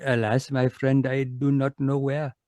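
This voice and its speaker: A man playing a solemn, crafty mystic in a radio mystery, speaking in a formal, measured way. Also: medium pitch, monotone, dark tone, no echo, close to an everyday voice. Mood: solemn, regretful. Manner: formal, measured, avoids contractions. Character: solemn, crafty yogi mystic seeking money. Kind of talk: old-time radio mystery acting. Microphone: close home microphone on a video call.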